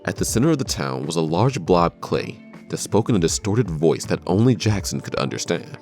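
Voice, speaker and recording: A man's voice: low voice, big pitch swings, normal speed, a little mellow, soft background music. A man reading scripted narration for a countdown video.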